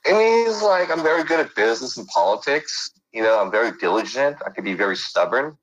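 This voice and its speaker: A man's voice: medium pitch, very animated, brisk, a bit bright, low-quality recording. A middle-aged man from San Francisco, talking casually as an interview guest and rambling with lots of fillers and restarts. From San Francisco, United States.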